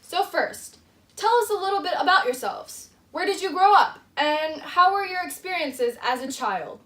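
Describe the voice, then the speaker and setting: A woman's voice: very high voice, lively intonation, normal speed, a bit bright. A woman hosting a mock game show for a school project, with a showy announcer delivery, in a roomy echo.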